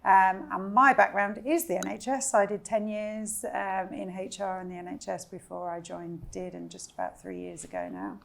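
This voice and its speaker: Gravelly-voiced London woman on a panel. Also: medium pitch, animated, moderate pace, few pauses, a bit bright, a little hiss or hum. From West London, England. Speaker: HR consultant, panelist. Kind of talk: panel introduction.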